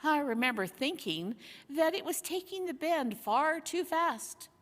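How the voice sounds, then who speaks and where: An earnest young boy king from a storybook , voiced by a woman reader. The pitch is on the high side and moves freely as he explains things thoughtfully. A long hall reverb trails the words. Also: expressive, measured pace, a bit bright. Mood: earnest recollection. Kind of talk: storybook character dialogue.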